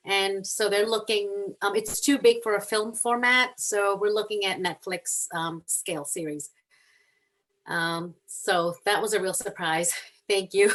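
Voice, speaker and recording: Woman guest author from Hong Kong, earnest and animated, mid-pitched, video call audio. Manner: earnest, animated storytelling with frequent ums. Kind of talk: casual chat on a video call.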